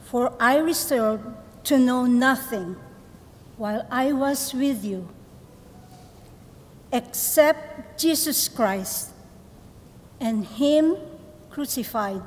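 A middle-aged woman reading scripture as a lector at a lectern in a large church, with careful, deliberate phrasing. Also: sits high. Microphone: lectern microphone. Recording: long church reverb.

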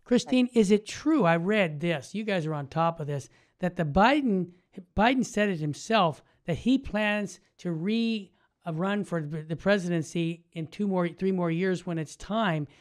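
A man's voice: high-pitched, a little slow. An older man talking emphatically and conversationally as an opinionated radio host, close on a studio mic. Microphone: studio mic on boom arm, headphones.

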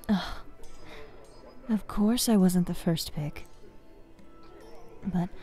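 A woman playing a bossy but caring young fighters guild master in an ASMR fantasy roleplay, soft-spoken and commanding. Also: mid-range voice, natural voice. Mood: guarded. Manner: soft-spoken, commanding, frequent sighs and pauses.

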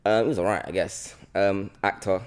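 A man's voice: low-pitched, steady tone, moderate pace, smooth. A man in his twenties with a London accent, chatting informally and playfully as a podcast host. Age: 20s. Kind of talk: casual chat. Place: bright living room, on a sofa. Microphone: podcast mic on a boom arm.

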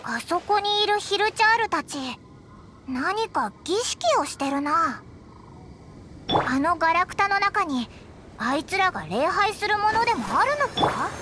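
Very squeaky little fairy girl. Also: very animated, measured pace, bright, forceful tone, a little hiss or hum, full character voice. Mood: curious. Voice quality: squeaky, childlike. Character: small floating fairy girl companion, childlike, brash, curious. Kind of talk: Japanese anime game acting. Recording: game music behind.

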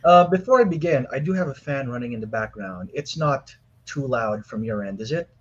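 A man's voice: mid-range voice, lively intonation, fairly quick. Polite man on a muffled video call. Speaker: debate guest, apologist. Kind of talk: formal debate opening. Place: home room with a fan running.

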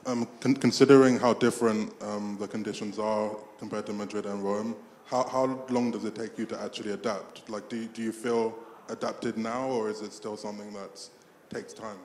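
Male journalist, fairly deep voice, press conference question, reverberant room. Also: steady tone, normal speed, darkish tone. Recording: echoey room, some background noise.

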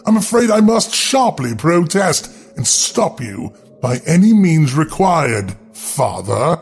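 An austere, aristocratic ghoul mother , acted out by a man from a gothic horror tale. She speaks formally and haughtily, with wide pitch movement. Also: very animated, slow, gravelly, breathy edge, faint music behind, heavily acted. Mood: angry, stern.

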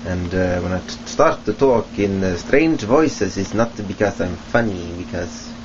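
A young man from Sweden with a Swedish accent, introducing himself hesitantly to his webcam in a small room at home, with many pauses and restarts. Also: low-pitched, lively intonation. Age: young adult. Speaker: vlogger. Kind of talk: casual self-introduction to webcam. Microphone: webcam at close range. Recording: dull lo-fi webcam audio with hiss.

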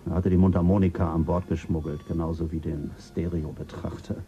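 An actor playing a measured mission official explaining a space voyage in a German radio drama, with a husky voice. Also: male voice, low-pitched, level tone, warm tone, highs cut off, a little hiss or hum, close to an everyday voice. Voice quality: husky, gravelly.

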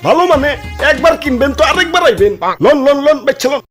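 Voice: high-pitched, expressive, quick pace, a bit bright. An actor voicing a pushy, crafty male street vendor in a comic cartoon, with sing-song hawking and brusque haggling. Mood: eager selling. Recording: music behind the voice.

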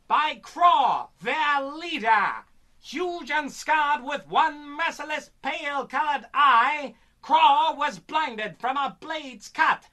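A woman playing the dramatic, deliberate narrator of a pulp adventure radio serial. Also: sits high, sharp tone, heavily acted.

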